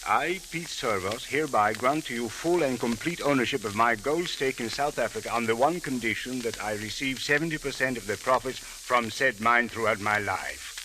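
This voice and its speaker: An actor playing a stern, suspicious police captain, speaking formally and deliberately in old radio drama style. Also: male voice, mid-range voice, pushed and bright, close to an everyday voice, rough recording. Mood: official, dry. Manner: formal, deliberate, uncontracted speech. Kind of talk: 1940s-50s radio detective acting. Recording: hissy old radio recording.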